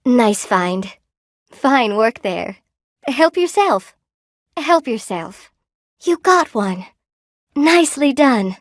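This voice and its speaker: Game role: sweet, lonely spider-woman shopkeeper, gentle and eager to please, fairly high voice, unhurried, dry studio sound. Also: very animated, no echo. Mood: encouraging. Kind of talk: video game voice acting, short character barks.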